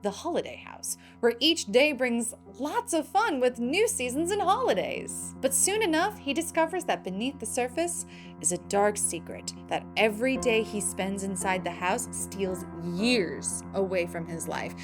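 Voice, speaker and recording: A woman's voice: on the high side, sing-song, measured pace, faint music behind. A woman hosting a scripted film news show, with playful, emphatic delivery and slangy asides. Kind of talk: scripted entertainment news narration.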